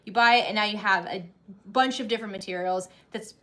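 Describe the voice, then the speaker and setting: A woman's voice: medium pitch, a little fast, fairly bright tone. A woman in her thirties hosting a sewing channel, chatting casually with many restarts and frequent laughs. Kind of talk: casual livestream chat.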